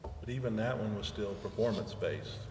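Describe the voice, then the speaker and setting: A man's voice: fairly low pitch, a little fast. A man chairing a city committee meeting, talking informally and earnestly, repeating words as he goes. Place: city council chamber. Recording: echoey chamber, long reverb, faint hiss.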